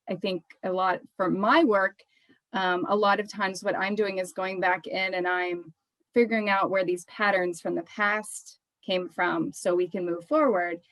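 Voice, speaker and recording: A woman therapist chatting in a thoughtful, hesitant way, with many pauses and ums. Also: on the low side, fairly bright tone, no echo. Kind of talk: casual conversation.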